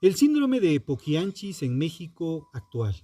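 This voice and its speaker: A man reading a scripted true crime narration in a solemn, dramatic manner, in short phrases with emphatic pauses. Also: on the high side, sing-song, warm tone.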